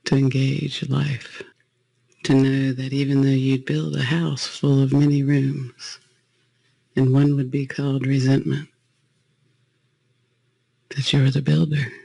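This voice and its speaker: A man leading a guided meditation as a spiritual talk, phrasing gently and unhurriedly with pauses. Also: mid-range voice, completely flat delivery, creaky, husky, dry recording, low-quality recording.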